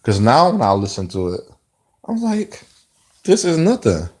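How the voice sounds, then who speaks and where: A man's voice: mid-range voice, wildly swooping pitch, a little slow, darkish tone. A man in his mid-thirties talking to camera in a rambling, reflective monologue, often restarting his sentences. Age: 30s. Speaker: vlogger. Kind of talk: casual spoken monologue.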